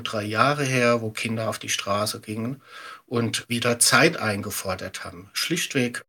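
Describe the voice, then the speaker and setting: A man's voice: on the low side, bright, forceful tone, slightly rough. A middle-aged man giving reflective, explanatory answers as an expert guest in a conversational interview.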